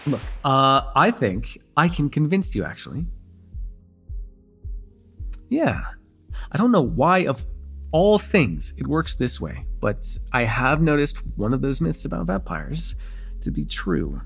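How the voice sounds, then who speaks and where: A young man playing an excitable vampire in an immersive roleplay, casual and halting, insistent and teasing as he repeats himself. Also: medium pitch, creaky, slightly rough, faint music behind, heavily acted. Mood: eager, flustered. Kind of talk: immersive ASMR roleplay acting.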